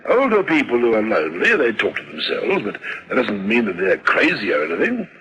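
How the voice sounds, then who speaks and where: An actor playing an avuncular, unhurried old family doctor in a radio drama, full of reassurance. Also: male voice, on the low side, huge pitch swings, bright, forceful tone, a little hiss or hum, heavily acted, poor audio. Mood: reassuring.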